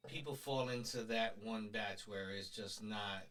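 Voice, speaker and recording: A man co-hosting a podcast, teasing and animated in casual chat, dropping into Spanish at times. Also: lowish voice. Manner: teasing, animated, quick interjections. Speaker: podcast co-host.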